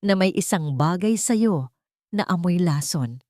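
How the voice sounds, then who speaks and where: A Filipino woman voicing a stern, disgusted elderly society matron in an audio drama, speaking in a cutting, deliberate way. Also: on the low side, expressive, warm tone, dry recording, studio quality. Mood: cold contempt.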